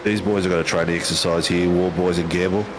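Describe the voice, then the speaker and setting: A man's voice: deep voice, little pitch movement, fast talker, noisy background. An Australian man commentating a sim race in a casual, matey way, with plenty of ums and uhs.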